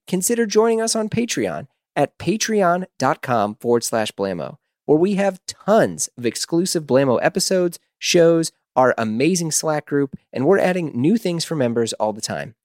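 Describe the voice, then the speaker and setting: Animated male podcast host, dry close sound. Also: fairly high pitch, no echo. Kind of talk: scripted promo and episode introduction.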